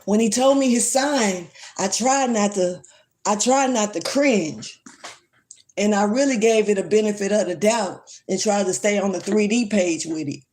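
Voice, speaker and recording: A woman's voice: mid-range voice, normal speed, a little mellow, a little hiss or hum. A woman, ranting bluntly and swearing freely in a casual livestream. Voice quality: strong voice. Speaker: livestream vlogger. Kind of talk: casual rant to camera.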